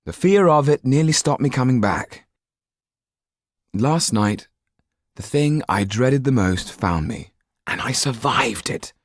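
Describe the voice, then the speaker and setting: Audiobook role: impassioned, defiant young man, played by a male reader; higher lifted voice, intense and headstrong. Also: no room sound, studio quality. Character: Yann, passionate, defiant, headstrong young hero.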